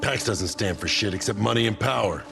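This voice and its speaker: Gravelly, low-voiced military squad leader. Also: male voice, brisk, over music. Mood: bitter, confrontational. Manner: terse, commanding, profane. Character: gruff, hard-driving American military squad leader. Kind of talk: cinematic video game acting.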